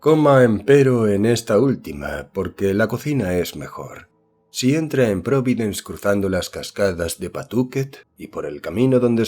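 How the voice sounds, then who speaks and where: Secretive colonial occultist, played by a male reader, horror audiobook. Low register, archaic courtly diction, solemn and formal. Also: darkish tone. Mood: courteous. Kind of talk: dramatised letter reading in horror audiobook.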